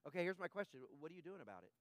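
A man's voice: high-pitched, big pitch swings, racing, no room sound. A male pastor preaching a conversational sermon, acting out the characters' thoughts and asking the congregation questions.

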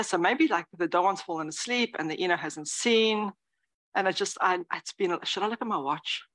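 Woman, 40s, Zen teacher, slightly low voice; lively conversational talk with whispered asides. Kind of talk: dharma talk. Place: meditation hall before a live audience.

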